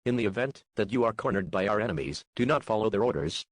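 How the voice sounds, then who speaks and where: A man's voice: on the low side, lively intonation, fast, dry recording. A man playing a stern official announcer, reading a scripted emergency alert in a formal, instructing way. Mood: stern, urgent.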